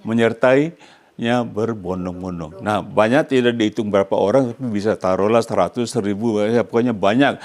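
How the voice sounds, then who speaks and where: A man's voice: on the low side, moderate pace, darkish tone. An older man from Indonesia with an Indonesian accent, a pastor preaching to a congregation in an emphatic way, often prompting them with questions. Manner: emphatic, asks the congregation questions to complete his phrases. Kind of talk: sermon to a congregation.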